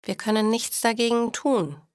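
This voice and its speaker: A woman's voice: fairly high pitch, normal speed, smooth, no echo, clean studio sound, voice pushed up, close to an everyday voice. A woman voicing a caring, thoughtful woman who consoles a friend in a storybook. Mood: consoling.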